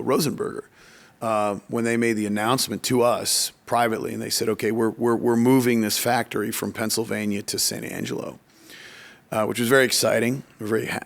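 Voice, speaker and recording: A man talking conversationally on a podcast, telling anecdotes in a halting way and often repeating words as he explains. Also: on the low side, gravelly. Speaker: economic development official, podcast speaker.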